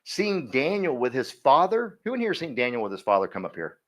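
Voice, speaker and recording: A man leads a workshop in front of a live room, his pitch swinging up and down as he teaches. He is energetic and folksy, constantly quizzing the crowd and drawing answers out of it. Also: fairly quick. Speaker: workshop coach. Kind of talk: interactive seminar teaching.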